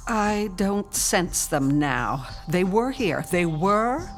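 An actress playing a solemn psychic who senses spirits in a radio horror drama, speaking in a deliberate, otherworldly manner. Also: female voice, fairly low pitch, lively intonation, a little hiss or hum. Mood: eerie, entranced.

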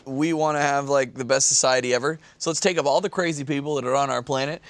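A man's voice: mid-range voice, no echo. A man on a podcast panel telling stories casually, with slangy, sweary wording and lots of "like". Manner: slangy, sweary, heavy use of "like". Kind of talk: casual, informal storytelling chat.

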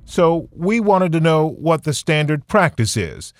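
A man hosting a podcast, reading scripted news narration in a measured explanatory way. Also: on the high side, very animated, no room sound. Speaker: podcast host.

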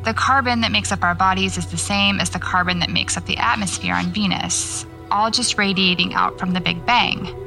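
Woman novelist turned investigator, audio drama: sardonic, preoccupied, creaky edge, music underneath. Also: medium pitch, moderate pace, bright, forceful tone, low-quality recording. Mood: intent. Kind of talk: naturalistic audio drama dialogue.

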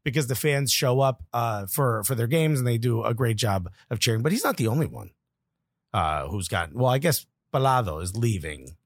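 A man's voice: medium pitch, expressive, moderate pace, no room sound, clean studio sound. A man with a Cuban accent co-hosting a casual soccer podcast, joking and laughing often. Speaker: podcast co-host.

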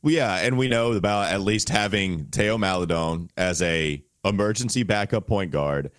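Deep-voiced man, under the weather, podcasting. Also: moderate pace, a bit bright, no room sound, clean studio sound. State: under the weather, strained voice held at low volume. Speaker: sports podcast co-host. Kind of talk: casual sports podcast discussion.

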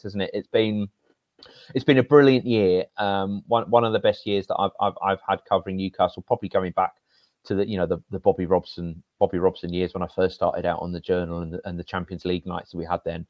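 A loud-voiced man in his forties, a sports journalist from Northeast England, tells a story with animated energy. His voice leaps up when he gets excited. The sound is dry and a little dull, close to the mic. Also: deep voice, fast talker, no room sound. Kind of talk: casual podcast chat.

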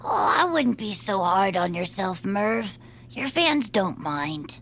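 A slow, gravelly-voiced young bird fan. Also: female voice, medium pitch, very animated, a bit bright, a little hiss or hum, exaggerated voice. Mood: gentle. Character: young bird fan, let down by her hero. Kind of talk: audio drama acting.

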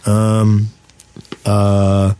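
A man's voice: lowish voice, completely flat delivery, drawn-out words, a crowd in the background. A man co-hosting a podcast in a casual, chatty group conversation. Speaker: podcast co-host. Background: music.

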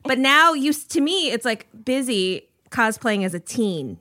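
A woman in her forties, guesting on a podcast and talking candidly about herself with frequent laughs. Her voice is bright and a little high. It is recorded close and dry. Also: big pitch swings, unhurried, no echo. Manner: candid, self-deprecating, laughing. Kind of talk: casual chat.

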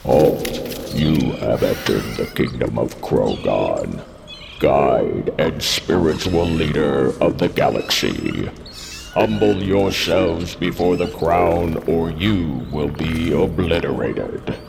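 A man playing a pompous, menacing alien guard with slow, imperious speech in a comic sci-fi radio serial. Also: extremely low pitch, lively intonation, gravelly, noisy background, full character voice. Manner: slow, imperious, grandly formal.

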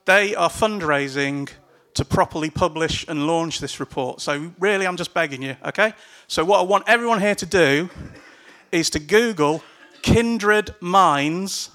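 A man's voice: high voice, bright, forceful tone. A man speaking casually and loosely to a packed meeting room, urging the crowd with some swearing and laughs. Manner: loose and chatty, begging with laughs. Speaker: panel speaker at a political event.

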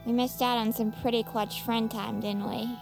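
Woman acting a gravelly, dry young woman. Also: on the high side, little pitch movement, moderate pace, over music, rough recording. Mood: wry. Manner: dry, teasing. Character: dry, probing young woman, wryly friendly. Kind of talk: audio drama acting.

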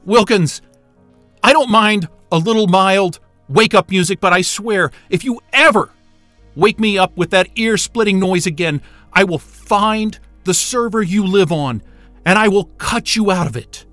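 An actor playing an irritable, sarcastic, sweary astrophysicist in a science fiction audio drama. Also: male voice, high voice, a little slow, fairly bright tone, no room sound, soft background music. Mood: irritated. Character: Wayne, irritable sarcastic astrophysicist, adult man. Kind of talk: naturalistic audio drama acting.

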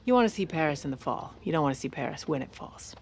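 Low-voiced, scheming American First Lady. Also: expressive, fast, husky, full character voice. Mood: smug, wry. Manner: dry, sarcastic. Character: scheming, wry, bossy First Lady seizing power. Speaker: lead character and narrator. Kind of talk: audio drama acting and narration.

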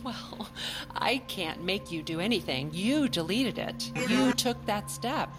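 Actress as calm therapist lady in audio fiction, medium pitch, soothing, music loud underneath. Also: close to an everyday voice. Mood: encouraging. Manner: measured, soothing, professional. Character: composed, encouraging woman therapist with a patient. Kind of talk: audio fiction drama acting.

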